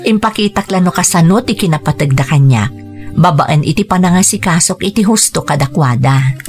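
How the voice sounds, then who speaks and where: Gentle, caring Filipino aunt. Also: female voice, on the low side, animated, brisk, soft background music. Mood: gentle, sincere. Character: caring, gentle aunt Tanya looking after children. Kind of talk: Ilocano radio drama acting.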